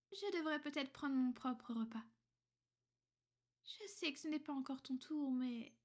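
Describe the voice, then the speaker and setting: A woman playing a centuries-old vampire girlfriend in an ASMR roleplay, soft and intimate, often whispering, refined, tender and possessive. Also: sits high. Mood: affectionate, composed.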